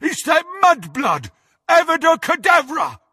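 A man voicing a huge, dim, thuggish teenage boy bully with rough grammar, in a storybook reading. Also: lowish voice, expressive, slow pace, dry recording, studio quality, in a large hall, exaggerated voice. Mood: aggressive yelling. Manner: thuggish, uneducated grammar, belligerent.

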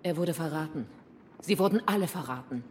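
An actress playing a proud, composed female rebel leader in a German audio drama, speaking formally with measured defiance. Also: sits low, animated, slight background noise. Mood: defiant.

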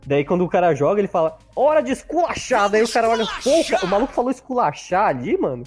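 A man with a Brazilian Portuguese accent talks in a lively, chatty way with a somewhat high pitch, laughing often. Faint music plays behind him. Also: fast. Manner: animated, laughs often, ends lines with velho. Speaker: gaming podcast co-host. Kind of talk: casual chat.

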